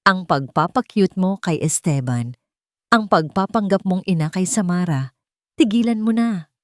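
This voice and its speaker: A woman's voice: fairly low pitch, animated, unhurried, dry recording, clean studio sound. A woman voicing a furious, venomous elderly matriarch in an audio love drama, scolding with contempt.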